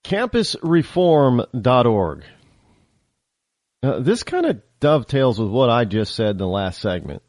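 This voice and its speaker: A male radio host with a faint upstate New York accent talks in a loose, digressive way, often circling back and restarting his sentences. His pitch swings up and down a lot, and the studio sound is close and dry. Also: very animated, unhurried, a little mellow, no room sound. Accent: central upstate New York. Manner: rambling, digressive, many restarts. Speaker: radio talk show host. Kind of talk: casual call-in radio talk.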